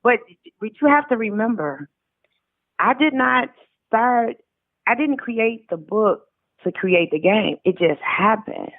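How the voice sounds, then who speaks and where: A woman's voice: on the high side, lively intonation, slow, pauses often, dry recording. A woman guest speaking casually and emphatically over the telephone in an interview, repeating her points and acting out quoted remarks. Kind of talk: casual conversational interview answers. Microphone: telephone call. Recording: tinny narrow phone line.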